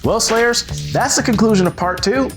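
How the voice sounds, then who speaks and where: A man's voice: high-pitched, normal speed, over music. A man from Oakland, California, hosting a company show in a playful, upbeat way. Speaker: host.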